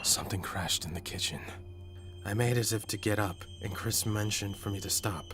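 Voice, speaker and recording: A man narrating a scripted first-person horror story in a measured, dramatic manner. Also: on the low side. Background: prominent atmospheric music.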